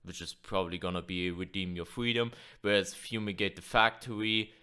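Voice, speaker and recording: A man's voice: deep voice, fairly even intonation, normal speed, pushed and bright, smooth. A young man hosting a gaming channel, talking casually with lots of fillers while sick and losing his voice. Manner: casual, filler-heavy, swears. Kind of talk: casual video commentary.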